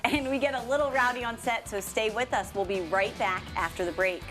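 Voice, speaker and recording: A woman's voice: fairly high pitch, a little fast, music in the background. A woman co-anchoring a television sports segment, reading scripted news with some light banter.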